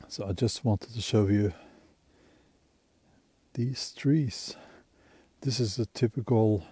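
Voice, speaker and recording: A man with a Norwegian accent chats to his camera outdoors in a snowy spruce forest, holding it on a tripod like a selfie stick. His voice is mellow and unhurried. He rambles in an easygoing way, with plenty of fillers and restarts. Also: fairly low pitch, husky. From Norway. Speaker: bushcraft vlogger. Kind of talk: casual outdoor vlog talk. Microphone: camera on a tripod held as a selfie stick.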